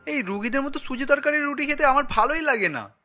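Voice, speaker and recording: A man's voice: very high-pitched, animated, fast talker, pushed and bright, faint music behind, exaggerated voice. A man voicing a fussy grown son and husband in a cartoon, whining and picky.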